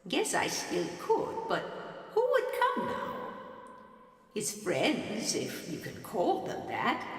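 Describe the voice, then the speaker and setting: A woman playing an eccentric, secretive older widow in an audio mystery, brooding and insinuating in halting phrases. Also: mid-range voice, huge pitch swings, gravelly, exaggerated voice. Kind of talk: audio mystery drama, remembered dialogue. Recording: distant, heavily echoing hall reverb.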